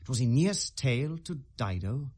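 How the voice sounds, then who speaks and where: A British actor playing a brooding, sardonic young prince feigning madness, theatrical and mercurial in a 1970s classical radio drama. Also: male voice, mid-range voice, sing-song, moderate pace, darkish tone, slightly rough. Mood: reflective, declaiming. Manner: theatrical, mercurial, precisely declaimed.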